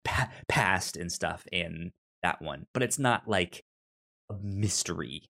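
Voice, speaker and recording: A man co-hosting a casual film discussion podcast, talking in a halting, stammering way with repeated words and trailing phrases. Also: deep voice, lively intonation, creaky, sharp tone. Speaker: podcast co-host.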